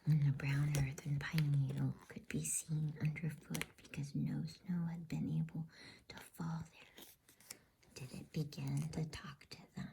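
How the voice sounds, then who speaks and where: A woman narrating a storybook for ASMR in a hushed, unhurried, breathy whisper. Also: low voice, level tone, slight background noise, poor audio. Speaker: bedtime story reader.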